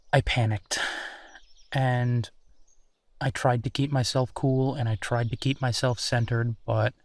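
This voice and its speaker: A man playing a gentle, embarrassed werewolf boyfriend in an intimate roleplay audio drama, speaking hesitantly and self-deprecatingly. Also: mid-range voice, lightly acted. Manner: hesitant, self-deprecating, sighing, soft chuckles.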